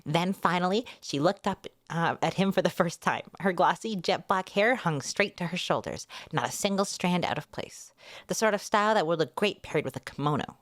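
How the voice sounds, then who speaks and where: A woman's voice: on the low side, normal speed, dry recording. A woman hosting a casual readalong, narrating a novel expressively with chatty asides. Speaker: VTuber host reading a light novel aloud.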